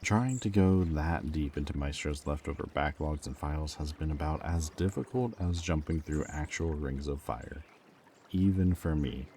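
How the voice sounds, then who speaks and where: A man's voice: extremely low pitch, warm tone, a little hiss or hum. A man acting a wry, loyal ex-villain thief in a roleplay audio drama, talking casually, both teasing and earnest. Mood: wry, questioning.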